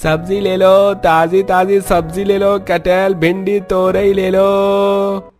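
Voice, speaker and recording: Young man, eager, notably high voice, cartoon story acting, faint music. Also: flat delivery, slow pace, darkish tone. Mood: calling out.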